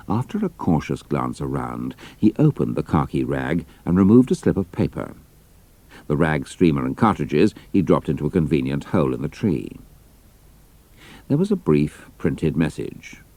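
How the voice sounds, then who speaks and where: A man's voice: a bass voice, gravelly, dark tone, dry recording. A British man narrating a scripted adventure story as an audiobook, with precise storytelling delivery.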